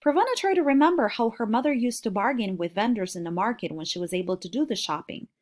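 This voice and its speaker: A woman's voice: fairly high pitch, animated, fast. Woman reading a storybook aloud. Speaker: reader of a children's novel. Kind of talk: read-aloud storybook narration.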